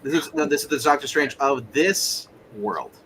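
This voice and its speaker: A man in casual tabletop game chat, narrating in a rambling, joking, animated way. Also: mid-range voice, normal speed, slightly rough, poor audio. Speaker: player narrating in a roleplay game.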